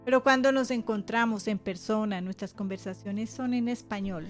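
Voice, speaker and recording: A woman's voice: mid-range voice, steady tone, normal speed, soft background music. A woman co-pastor interpreting into Spanish, with small hesitations and fillers as she goes.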